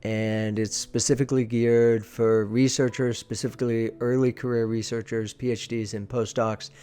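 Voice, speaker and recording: A man's voice: medium pitch, flat delivery, normal speed, mellow, clean tone. A man giving a conversational, emphatic lesson as a presentation coach, shifting his volume for effect and often checking in with the listener. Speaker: presentation coach, video teacher. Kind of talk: conversational teaching talk to camera.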